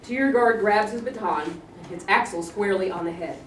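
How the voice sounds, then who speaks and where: A woman's voice: mid-range voice, normal speed. A woman reading the stage directions aloud in a plain, matter-of-fact way. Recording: echoey room, read from far off, noisy.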